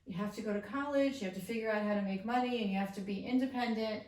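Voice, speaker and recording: A woman's voice: a little high, quick pace, darkish tone. A woman from Long Island, New York, telling personal stories casually and emphatically as an interview guest. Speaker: podcast guest, lawyer. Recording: echoey room, distant from the mic.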